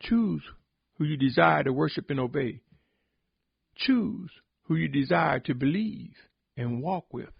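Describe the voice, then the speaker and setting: A man giving a solemn, sermon-like podcast reflection, exhorting deliberately with emphatic repetition in a husky, gravelly voice. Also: a little high, animated, no room sound, dull audio. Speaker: religious podcast host and preacher.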